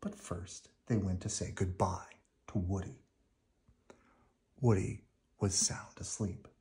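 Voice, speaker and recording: A man narrating a children's picture book in an expressive read-aloud style. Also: low-pitched, slow.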